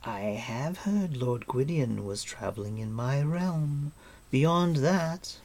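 A narrator putting on a silky, high-pitched enchantress queen. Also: animated, takes it slowly. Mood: silky, measured. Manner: gentle coaxing turning to menacing hiss. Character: cruel enchantress queen, silky and imperious, prone to fury. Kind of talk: audiobook character voice.

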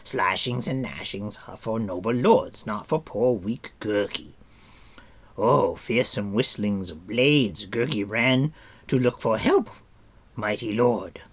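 A man's voice: on the low side, animated, slow, husky, full character voice. A man voicing a whimpering, servile wild creature in an audiobook, fawning and pitying himself in the third person. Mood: fawning, pleading. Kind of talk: audiobook character voice.

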